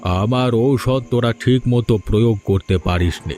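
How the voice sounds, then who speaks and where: A man's voice: medium pitch, normal speed, dark tone, slight background noise, natural voice. A man voicing a stern village holy man in a cartoon fairy tale, making solemn, prophetic pronouncements. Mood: stern, ominous. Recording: background music under dialogue.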